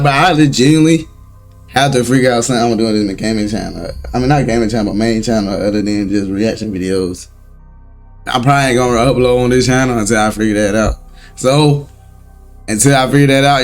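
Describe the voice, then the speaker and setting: Slightly raspy, laid-back young male vlogger. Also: mid-range voice. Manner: rambling, laid-back slang, chuckles often. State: hoarse, voice recovering. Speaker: reaction channel host. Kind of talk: casual chat to viewers. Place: home room. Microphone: desk setup at a laptop.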